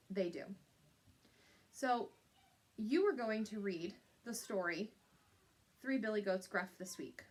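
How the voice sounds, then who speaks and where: A woman teacher giving an instructional lesson to young pupils, explaining step by step and checking in with little tag questions. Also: a little high.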